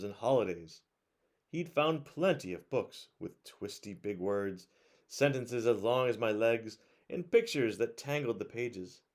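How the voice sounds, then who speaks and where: A man reading a storybook aloud to children in an expressive way, pausing to put questions to his young listeners. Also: medium pitch. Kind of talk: read-aloud storytelling to children, with conversational asides.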